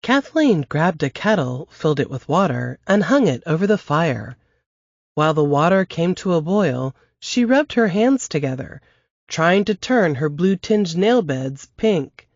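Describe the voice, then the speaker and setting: A woman's voice: sits low, sing-song, unhurried, darkish tone, dry recording, dull audio. Woman reading a novel excerpt. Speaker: author reading her novel. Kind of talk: audiobook-style novel reading.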